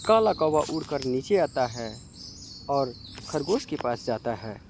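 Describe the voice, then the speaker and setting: Slow-paced male storyteller, birdsong behind. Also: medium pitch, sing-song, gravelly, noisy background. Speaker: narrator. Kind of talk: scripted storytelling narration for a children's cartoon.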